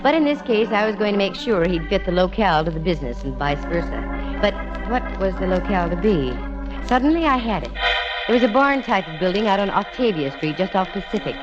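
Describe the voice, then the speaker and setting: A woman's voice: mid-range voice, big pitch swings, brisk, over music, natural voice. An American actress playing a breezy, wisecracking, bossy but fond young female detective in vintage radio drama style. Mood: narrating, assured. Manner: wry, teasing, confident. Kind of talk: 1940s-50s radio detective drama acting and narration. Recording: dull, lo-fi old radio recording.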